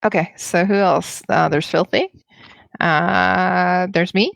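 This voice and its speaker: A woman with a lowish voice and plenty of vocal fry co-hosts a podcast. She banters casually in a teasing, playful way, recorded dry. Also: steady tone, slow pace, fairly bright tone, no room sound. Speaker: podcast co-host.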